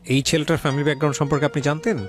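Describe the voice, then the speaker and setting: A man's voice: a little high, level tone, very fast. A man with a Bangladeshi accent hosting a radio interview, asking probing questions in a conversational way and mixing in English words. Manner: mixes English words into speech. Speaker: radio show host interviewing a guest. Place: radio studio. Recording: faint music behind. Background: faint music.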